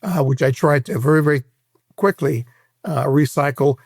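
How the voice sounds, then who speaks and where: A man in his sixties from Hawaii with an American accent, recounting his career in a measured, explanatory way as an interview guest. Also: a little high, creaky, no echo, clean studio sound. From Hawaii, United States. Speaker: interview guest, private equity veteran. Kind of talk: conversational career recollection in an interview.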